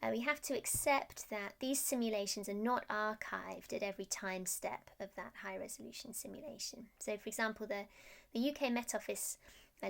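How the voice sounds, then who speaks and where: Creaky-voiced woman giving a technical presentation. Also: mid-range voice, a bit bright. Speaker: research scientist presenting. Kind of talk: technical presentation, explaining slides.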